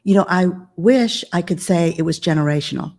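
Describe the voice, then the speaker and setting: Calm, low-voiced woman business author. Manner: calm, measured. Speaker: guest business coach and author. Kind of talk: podcast interview conversation.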